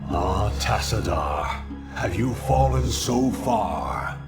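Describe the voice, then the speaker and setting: An actor voicing a haughty, stern alien judge in a video game, with pompous, condescending, formal speech. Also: male voice, fairly low pitch, expressive, slow pace. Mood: scornful lament. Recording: music under the voice. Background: music.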